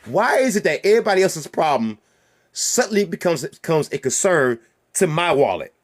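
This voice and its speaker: A man rants straight to his viewers, hammering each point with rhetorical questions and swearing. His voice sits high and swoops widely up and down as he repeats phrases for emphasis. It sounds like a solo host talking his mind on his own channel. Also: sing-song, moderate pace. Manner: heated and emphatic. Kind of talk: ranting monologue to viewers.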